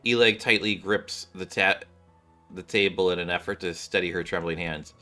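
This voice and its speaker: A man's voice: low voice, steady tone, moderate pace, sharp tone. A man streaming a game, reading its narration and text aloud and occasionally correcting his own slips. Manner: stumbles and corrects himself while reading. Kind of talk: live read-along of game script. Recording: background music behind the voice.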